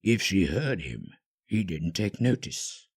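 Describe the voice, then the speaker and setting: A man narrating a scripted story dramatically, with measured, hushed phrasing and pauses. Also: sits low, very animated, breathy edge.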